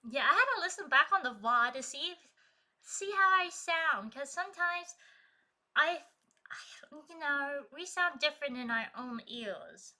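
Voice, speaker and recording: Woman streamer, high-pitched, unhurried, chatty with frequent laughs, trained voice that can project. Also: lively intonation, sharp tone. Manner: chatty, laughs often, reads chat aloud. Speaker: live streamer and poet. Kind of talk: casual live-stream chat with viewers. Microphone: streaming desk mic.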